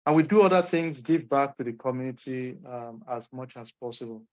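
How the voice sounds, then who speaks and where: A man's voice: mid-range voice, fairly quick, a little mellow. A man from Nigeria with a Nigerian accent, an instructor answering questions in an online session in an emphatic, encouraging way. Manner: encouraging, emphatic, folksy asides. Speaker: course instructor. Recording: muffled lo-fi call audio.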